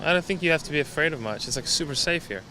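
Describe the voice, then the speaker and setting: A man from the United States, answering street interview questions in a relaxed, rambling way. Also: on the high side, rapid-fire. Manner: relaxed, rambling with many fillers. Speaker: interviewee, former English teacher. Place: outdoors on a city street. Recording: street noise behind.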